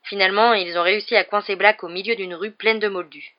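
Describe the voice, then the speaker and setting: A woman's voice: mid-range voice, steady tone, quick pace, close to an everyday voice. Woman voicing a cocky, gossipy young male conductor, dull sound. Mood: dramatic, gossipy. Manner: chatty, ends remarks with tag questions.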